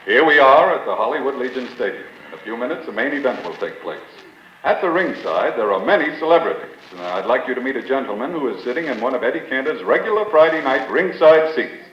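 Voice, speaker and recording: A man doing mock ringside announcing in a 1940s radio comedy, with a smooth announcer delivery. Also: mid-range voice, lively intonation, fast, pauses often, fairly bright tone, a little hiss or hum. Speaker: announcer, show regular. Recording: dull roomy 1940s radio broadcast.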